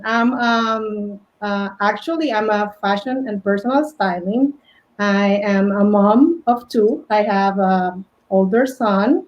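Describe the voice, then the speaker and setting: A woman from Colombia in her forties with a Colombian Spanish accent, talking haltingly with many fillers as an interview guest. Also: mid-range voice, darkish tone. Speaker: guest, fashion stylist. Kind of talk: casual interview chat. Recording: dull lo-fi audio.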